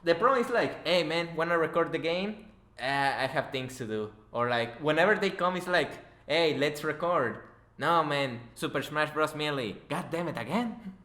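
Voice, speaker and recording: A man's voice: fairly high pitch, lively intonation, moderate pace, hardly any pauses, pushed and bright. A young man hosting a podcast as casual chat, joking and swearing informally. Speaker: podcast host, interviewer. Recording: echoey room.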